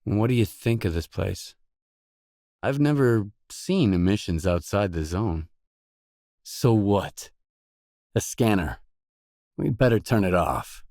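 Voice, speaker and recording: An actor voicing a gruff, guarded stalker mercenary in a video game, blunt, terse and no-nonsense. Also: male voice, on the low side, lively intonation, takes it slowly, pauses often, a little mellow, dry recording, clean studio sound. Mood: guarded, matter-of-fact. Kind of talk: video game dialogue lines.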